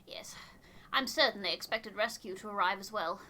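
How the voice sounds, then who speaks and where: A woman's voice: mid-range voice, moderate pace, pushed and bright, natural voice. Woman acting an anxious, formal expedition wife. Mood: worried. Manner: formal, worried.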